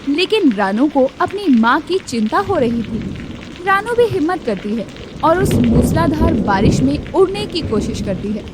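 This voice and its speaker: A woman narrating a children's cartoon story in a scripted, expressive storytelling manner. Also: high voice, measured pace, heavy background noise.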